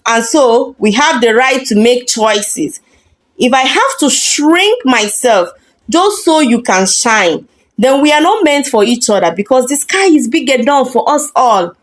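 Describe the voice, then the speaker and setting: A woman voicing a self-assured career woman in a story, composed, dignified and cutting. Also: high-pitched. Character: self-assured career woman calmly rejecting her boyfriend.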